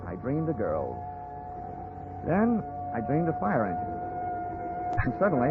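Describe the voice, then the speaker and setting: An actor playing a wisecracking tough investigator in a 1940s radio drama, wry and clipped. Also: male voice, on the high side, narrow, tinny audio. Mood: wry narration. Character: hard-boiled wisecracking male investigator narrating his case. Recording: thin band-limited 1940s radio recording, music.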